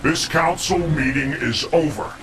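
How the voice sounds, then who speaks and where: A man's voice: fairly high pitch, a little slow, music in the background, natural voice, low-quality recording. A man playing an imperious, contemptuous overlord in a video game cutscene, speaking formally and with command. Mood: stern, scornful.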